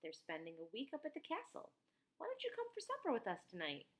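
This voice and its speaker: A woman's voice: on the high side, huge pitch swings, brisk, voice pushed up. A woman voicing a friendly, easygoing woman writer in a children's mystery story, chatting with children.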